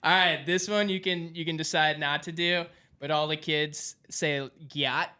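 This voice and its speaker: A man's voice: a little high, fairly even intonation, measured pace, bright, forceful tone, clear, resonant tone. A young man hosting an interview, chatting casually and explaining things as he goes. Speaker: interviewer, show host. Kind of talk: casual chat, explaining slang.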